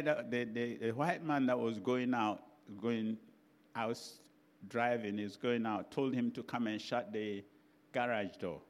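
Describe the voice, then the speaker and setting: An older man with a Ghanaian accent, telling a chatty anecdote in a sermon as a preacher. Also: mid-range voice. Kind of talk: sermon storytelling.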